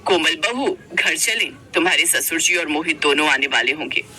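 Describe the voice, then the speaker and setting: A woman's voice: low voice, lively intonation, moderate pace, sharp tone, pitched below the performer's own voice, rough recording. A woman voicing a stern, protective older mother-in-law who scolds, in a cartoon story. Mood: annoyed.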